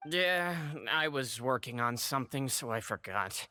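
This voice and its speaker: A woman's voice: a bass voice, expressive, measured pace, sharp tone, full character voice. A woman voicing an easygoing, absent-minded young courier in a video game.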